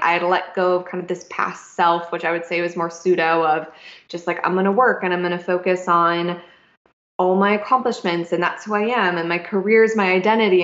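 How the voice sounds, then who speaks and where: A young adult woman hosting a podcast, musing in a thoughtful, halting way with many fillers and restarts. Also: lowish voice. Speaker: podcast host. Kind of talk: reflective casual conversation. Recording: echoey, dull lo-fi room sound.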